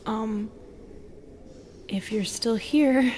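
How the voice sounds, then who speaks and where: Slow, halting, lonely Medusa played by a woman. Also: on the high side, slight background noise. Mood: shy, disbelieving. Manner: hesitant, halting, soft and breathy. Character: lonely, wounded, wary female Medusa warming to a visitor. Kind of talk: intimate audio roleplay acting, often whispered.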